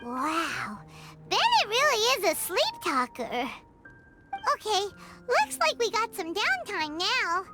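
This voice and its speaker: Tiny childlike game companion, very high squeaky voice, chirpy, exclamatory, self-important, swooping intonation. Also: female voice, wildly swooping pitch, slow, loud music behind the voice, heavily acted. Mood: amazed. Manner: speaks of herself in the third person, exclamatory. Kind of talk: anime video game voice acting.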